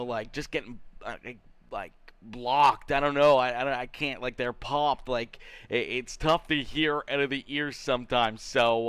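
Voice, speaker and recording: A man commentating a live sim racing league, energetic, with frequent fillers and restarts while fighting off a cold that leaves him congested and slightly nasal. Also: medium pitch, smooth, no echo.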